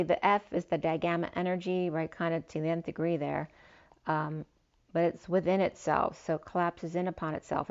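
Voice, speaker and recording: Woman, lowish voice, slightly hoarse, tarot reader rambling through her spread on a dull lo-fi recording. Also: darkish tone. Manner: meandering, listing cards with fillers. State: losing her voice a little. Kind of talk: spiritual tarot reading. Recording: dull lo-fi sound.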